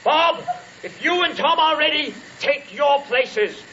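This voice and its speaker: Commanding old Southern patriarch, hissy radio. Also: male voice, very high voice, animated, slow pace, lo-fi sound, exaggerated voice. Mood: stern, suspicious. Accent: American Southern. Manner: commanding, folksy rural speech. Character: stern, wary Southern family patriarch about sixty. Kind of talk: old-time radio drama acting.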